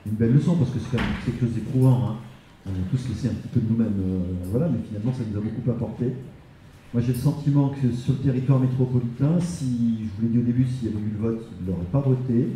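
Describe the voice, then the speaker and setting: A man giving spontaneous interview testimony, explaining in a halting way. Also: mid-range voice, warm tone. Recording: very echoey room, faint background noise.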